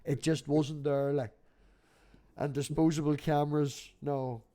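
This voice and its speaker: A man's voice: a little high, flat delivery, a little slow, dark tone. A middle-aged man chatting casually on a livestream, talkative and sweary, telling anecdotes. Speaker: livestream host.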